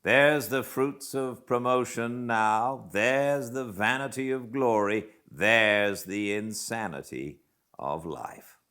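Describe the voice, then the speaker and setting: A man's voice: lowish voice, takes it slowly, bright, forceful tone, pitched above the performer's own voice, heavily acted. A man voicing a hungry, self-pitying ship's officer from a classic novel, lamenting in a declamatory way. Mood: mournful complaint.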